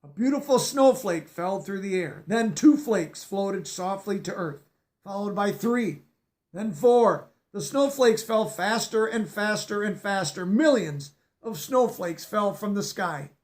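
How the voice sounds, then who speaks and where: A man with a notably high voice reads a children's picture book aloud. He gives the story gentle expression and pauses to explain a word or two for young listeners. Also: animated. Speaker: storybook reader.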